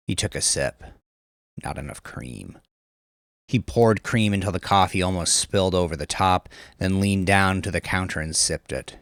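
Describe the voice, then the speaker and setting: A man narrating crime fiction in a measured, dramatic storytelling manner. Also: low-pitched, a bit bright, no echo, studio quality. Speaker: audiobook narrator.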